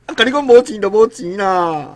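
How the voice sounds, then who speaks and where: A man's voice: sits high, expressive, fairly quick. A man with a Taiwanese accent playing a poor, honest, aggrieved vegetable seller in his thirties in a radio drama. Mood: distressed. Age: 30s. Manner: plaintive, protesting.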